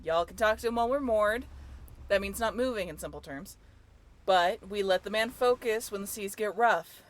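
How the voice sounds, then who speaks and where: A woman's voice: medium pitch, normal speed, natural voice. A woman playing an upbeat young tour guide on a sailing ship in a scripted audio roleplay, with a chatty, playful way of talking. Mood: brisk, instructive. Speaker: role-play voyage guide.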